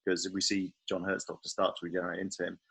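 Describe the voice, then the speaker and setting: A man's voice: low-pitched, monotone, quick pace, creaky, fairly bright tone, slightly rough. A man chatting casually as a podcast host, speculating in a tentative way. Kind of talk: casual podcast chat about a TV series.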